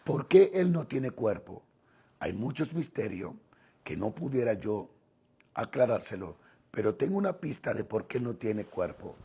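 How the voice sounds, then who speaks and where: A man preaches with a Dominican Spanish accent in a heavily rasping, mellow voice. He teaches in short, deliberate phrases, pausing and repeating for emphasis, with a gravelly fry running through his delivery. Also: lowish voice, sing-song. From Dominican Republic. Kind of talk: sermon, teaching on scripture.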